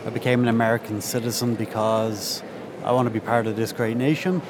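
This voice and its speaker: A man's voice: mid-range voice, level tone, fairly quick, background noise. A man from Ireland, a new citizen, speaking in a cheerful, easygoing way.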